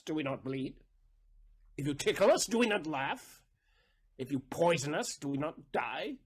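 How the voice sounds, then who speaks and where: An actor playing a bitter, vengeful moneylender and betrayed father in a Shakespearean audio play, with a husky voice and theatrical, emphatic delivery. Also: high-pitched, heavily acted. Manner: theatrical, emphatic, swinging between hushed and loud.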